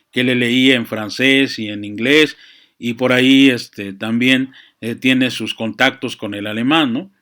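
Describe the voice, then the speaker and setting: Reflective, halting man. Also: medium pitch, a bit bright, clear, resonant tone. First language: Spanish. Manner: halting, searching for words, frequent verbal tags. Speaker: speaker on chess and literature. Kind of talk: reflective, unscripted monologue. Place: small room with books.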